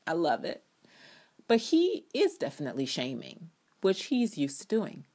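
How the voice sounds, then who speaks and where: Female reader, low pitch, delivering sardonic TV commentary as a dramatic, emphatic essay reading. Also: wildly swooping pitch, normal speed, warm tone. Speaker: TV drama blogger reading own review aloud.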